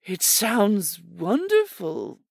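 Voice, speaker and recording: A woman's voice: mid-range voice, wildly swooping pitch, extremely slow, gravelly, slightly rough, no room sound, studio quality, heavily acted. Woman voicing a meek, sly storybook rabbit. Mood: ingratiating. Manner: polite, ingratiating, soft-spoken.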